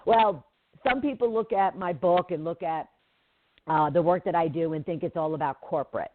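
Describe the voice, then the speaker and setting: A woman's voice: lowish voice, moderate pace, background noise. Nasally woman hosting call-in radio. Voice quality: nasally from pollen. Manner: chatty, rambling, frequent fillers and restarts. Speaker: radio show host. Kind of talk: casual live talk radio monologue. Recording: tinny phone-like line.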